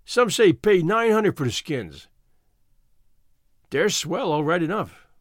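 A man's voice: high voice, very animated, takes it slowly, no room sound, clean studio sound, full character voice. A man voicing a pale young street informer in a storybook, with slangy, casual talk. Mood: knowing.

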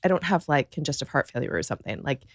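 A hoarse woman guest in a casual podcast chat, wry and blunt about herself. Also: low voice, very animated, fast talker, dry recording, studio quality. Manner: wry, blunt, self-mocking. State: hoarse, voice not back.

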